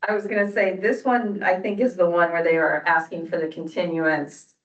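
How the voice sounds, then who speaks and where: A woman's voice: lowish voice, flat delivery, moderate pace. Distant, echoey woman chairing a meeting. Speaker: commission chair. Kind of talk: formal meeting procedure. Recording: roomy, distant, muffled meeting-room audio.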